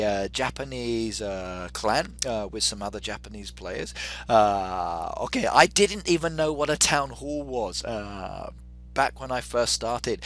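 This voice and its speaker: A man's voice: fairly low pitch, fairly bright tone. A middle-aged man with an English accent chatting through a live game stream and reading out viewer comments. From England.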